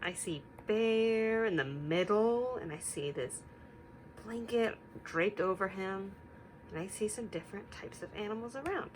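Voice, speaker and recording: A woman teacher reading a picture book aloud to young children, instructive and deliberate, stopping to put questions to them. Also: medium pitch, slight background noise, poor audio.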